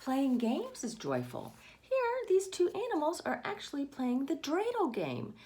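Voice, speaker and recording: A woman's voice: high voice, huge pitch swings, unhurried, darkish tone. A woman reading a picture book aloud to children, expressively, with asides and questions addressed to the young listeners. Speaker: read-aloud storyteller for children.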